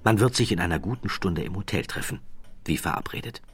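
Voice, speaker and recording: Brief: male narrator, very low voice, grave measured delivery, faint music underneath. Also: animated, slightly rough. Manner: measured, grave storytelling with hushed passages. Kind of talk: scripted documentary narration.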